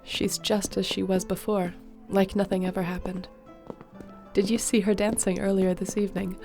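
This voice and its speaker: A woman voicing a court sorceress in a scripted audio roleplay, speaking gently and conversationally. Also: mid-range voice, flat delivery, moderate pace, soft background music. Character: warm, loyal female court sorceress.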